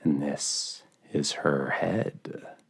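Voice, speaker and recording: Man acting a sinister, gravelly, intoning husband. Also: rumbling low, steady tone, full character voice. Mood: solemn, eerie. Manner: intoning, drawn-out, menacing. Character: sinister husband solemnly intoning a macabre party game.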